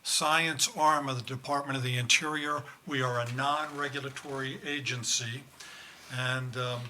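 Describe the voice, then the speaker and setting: A man's voice: mid-range voice, bright, forceful tone, a little hiss or hum. An older man giving a conference talk as a government scientist, with dry, self-deprecating humor.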